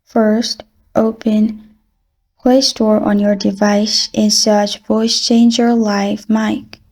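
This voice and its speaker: A woman narrating a phone app tutorial in a polite, even, scripted voice-over, walking through the steps one by one. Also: medium pitch, takes it slowly. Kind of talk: scripted step-by-step instructions. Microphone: voice-over over screen recording.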